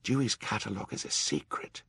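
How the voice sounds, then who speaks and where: A man performs an earnest, worried boy from a storybook. He talks plainly and urgently, lifted in pitch with lively intonation. The recording is dry. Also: moderate pace, no echo, close to an everyday voice. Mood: hushed. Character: earnest, worried boy, sibling of two sisters.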